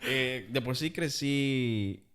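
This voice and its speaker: A man's voice: mid-range voice, very slow, a bit bright, smooth. A man co-hosting a casual podcast, speaking in a plain, wry way. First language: Spanish. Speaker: podcast co-host. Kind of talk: casual chat.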